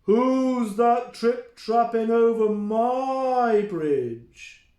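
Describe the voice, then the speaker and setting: A man's voice: very high voice, warm tone, pitched above the performer's own voice, full character voice. A man voicing a grumpy, boastful storybook troll who bullies and roars his words out emphatically. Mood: roaring. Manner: roaring, drawn-out, emphatic delivery. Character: grumpy, boastful male bridge troll, loud and bullying. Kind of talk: children's storybook character voice. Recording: roomy, echoing indoor sound.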